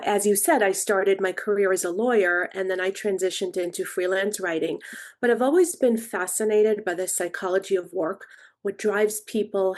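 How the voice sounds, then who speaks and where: A woman from Israel, middle-aged, who works as a coach and is a guest on a podcast. She talks in a measured, reflective way and picks her words with care. Her voice sits at an ordinary middle pitch for a woman. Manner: thoughtful, measured explaining. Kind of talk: podcast interview.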